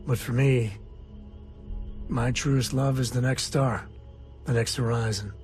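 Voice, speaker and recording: A man's voice: mid-range voice, fairly even intonation, unhurried, darkish tone, faint music behind, natural voice. A man playing an easygoing, folksy space explorer and single father in a video game. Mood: easygoing, reflective. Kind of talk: video game character dialogue.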